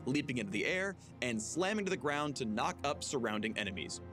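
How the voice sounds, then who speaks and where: A man's voice: mid-range voice, lively intonation, brisk. A man reading scripted promotional narration for a game guide in a polished, punchy, playful way. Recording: music bed under narration.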